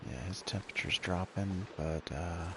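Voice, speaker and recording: A laid-back man with a gravelly, soothing smoker's voice, giving casual commentary on a survival game as he plays. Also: low-pitched, normal speed. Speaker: game streamer. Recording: clearly noisy background.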